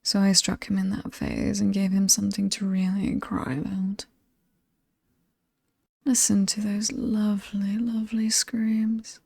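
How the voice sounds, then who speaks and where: Alluring she-devil of a haunted estate, acted by a woman, British, mocking, mellow and breathy, very close and dry, horror ASMR. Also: medium pitch, completely flat delivery, no room sound. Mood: sinister relish. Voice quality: breathy, often whispered, soft. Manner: slow, taunting, teasing. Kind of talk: horror ASMR roleplay, scripted monologue.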